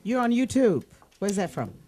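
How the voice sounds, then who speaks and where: A woman's voice: mid-range voice, sing-song, dark tone. A woman hosting a radio talk show in the studio, chatty and playful, often jumping in with questions. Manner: chatty, playful, quick to laugh and interject. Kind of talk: casual radio interview. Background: music bed.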